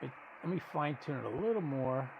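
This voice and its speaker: A man's voice: medium pitch, expressive, fairly quick, vocal fry, highs cut off, noisy background. A man giving casual live commentary as a radio stream host, thinking aloud and explaining as he goes, often restarting his sentences. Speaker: ham radio livestream host.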